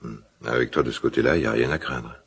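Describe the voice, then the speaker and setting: Gruff tender dad in a radio play, super low raspy voice, halting and musing. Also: male voice, full character voice. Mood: hesitant, reflective. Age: middle-aged. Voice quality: gravelly, husky. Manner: hesitant, musing, halting phrases. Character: gruff, tender, old-fashioned father of a teenager. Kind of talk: naturalistic French radio drama acting.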